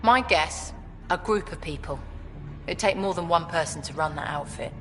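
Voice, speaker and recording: A woman's voice: lowish voice, moderate pace, over music, natural voice. A woman acting a blunt, menacing outlaw boss, reverberant. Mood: curt, dismissive. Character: hardened, short-tempered female outlaw leader, ex-security officer. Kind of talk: video game cutscene acting.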